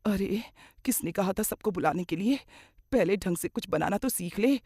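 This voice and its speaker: A woman's voice: medium pitch, lively intonation, normal speed, darkish tone, breathy edge, no room sound, studio quality. A woman playing a middle-aged mother-in-law, kindly but grumbling and dramatic, in a moral cartoon story. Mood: exasperated.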